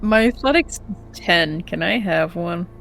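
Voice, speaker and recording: A woman's voice: on the low side, expressive, unhurried, gravelly, fairly bright tone. A woman playing a tabletop role-playing game, talking casually with the others at the table. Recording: music playing behind the voice. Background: background music.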